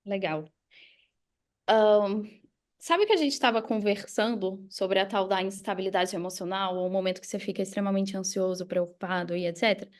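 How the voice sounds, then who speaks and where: A woman's voice: mid-range voice, steady tone, moderate pace. A young adult Brazilian woman talking casually and motivationally as a podcast guest, emphatic and conversational. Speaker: podcast guest, digital marketing mentor. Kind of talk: casual motivational interview talk.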